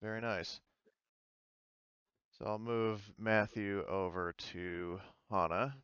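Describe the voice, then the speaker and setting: Low, smooth-voiced man running a game. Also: steady tone, a bit bright, lo-fi sound. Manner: halting, searching for words. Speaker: game master of an online tabletop game. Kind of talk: improvised game narration, casual.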